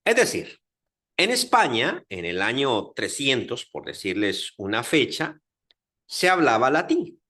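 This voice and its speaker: A man with a Guatemalan accent lecturing a class on Bible history, talking in a lively way with many fillers and check-ins to his listeners. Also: medium pitch, unhurried. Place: Dominican Republic, class audience.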